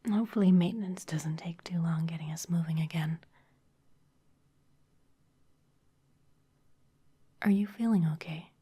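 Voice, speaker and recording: A woman voicing a sly, intimidating female vampire executive in a close, breathy ASMR whisper, polished and teasing. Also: lowish voice, fast, mellow. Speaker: ASMR performer.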